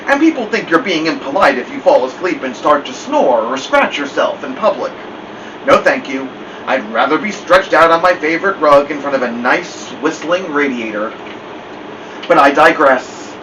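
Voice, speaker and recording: A man's voice: fairly high pitch, lightly acted. Man reading as wry old family dog, muffled recording. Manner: wry, digressive, formal wording. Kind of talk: first-person storybook narration. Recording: muffled, distant, noisy room hum.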